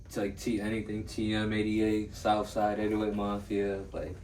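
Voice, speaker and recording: A man's voice: fairly low pitch, monotone, moderate pace, darkish tone. A man talking casually as a podcast guest, full of slang and swearing. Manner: slangy, profane. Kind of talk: casual chat about music. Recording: echoey noisy room, distant mic.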